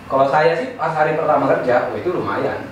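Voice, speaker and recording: A man's voice: on the high side, lively intonation, a little fast, dull audio. Man, casual chat host, eastern Indonesian, easygoing questions, roomy far-off sound, faint room noise. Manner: leading questions, frequent fillers and restarts. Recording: echoey room, mic at a distance.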